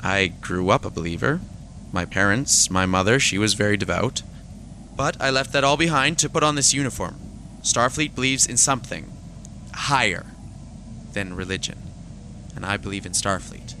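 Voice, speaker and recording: An actor playing a principled, troubled space fleet admiral in a science fiction audio drama, earnest and stern with some weariness. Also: male voice, lowish voice, expressive, moderate pace, bright, forceful tone, a little hiss or hum, poor audio. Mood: troubled, resolute.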